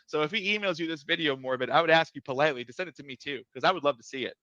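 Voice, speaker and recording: A man with a soft voice, defending himself on a live stream, hesitant with many fillers and restarts. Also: fairly high pitch, very fast, dry recording, muffled recording. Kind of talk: casual live stream debate.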